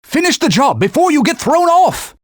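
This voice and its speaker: A man's voice: very high-pitched, sing-song, moderate pace, dry recording. A man voicing a talking holy sword, a stern old knightly mentor who speaks formally and barks urgent warnings in a game. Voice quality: husky, formal, commanding. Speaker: video game character. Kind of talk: game voice clips. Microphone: studio mic. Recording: dry studio recording.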